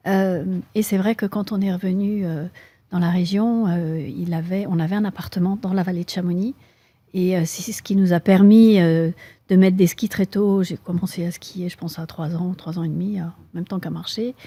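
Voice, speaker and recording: Swiss-accented woman, dark and dry. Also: lowish voice, fairly even intonation, moderate pace, no echo. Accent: Swiss French. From Switzerland. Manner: thoughtful, hesitant with many fillers. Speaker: guest, mountaineer. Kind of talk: interview answers.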